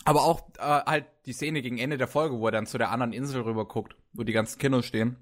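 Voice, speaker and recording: A man co-hosting a casual anime podcast, chatty and quick to laugh. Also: medium pitch, fairly even intonation. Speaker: podcast co-host. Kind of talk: casual chat about anime.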